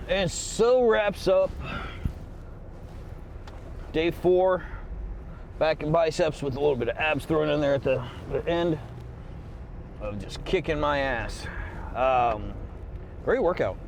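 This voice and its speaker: A man's voice: fairly high pitch, lively intonation, slow pace, vocal fry, long pauses. A worn-out man talking casually after a workout, rambling and swearing with lots of fillers, on a clip-on mic under his jacket. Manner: rambling, profane, with many fillers and restarts. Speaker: fitness vlogger. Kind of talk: casual post-workout vlog talk. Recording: noisy outdoor street recording. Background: traffic and street noise.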